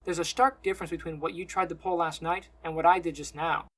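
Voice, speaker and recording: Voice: mid-range voice, fairly quick, a bit bright, natural voice, low-quality recording. A narrator voices a young male healer from an audiobook fantasy. He speaks drily and with restraint, solemn and compassionate, with a righteous streak. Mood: defensive. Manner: dry, restrained.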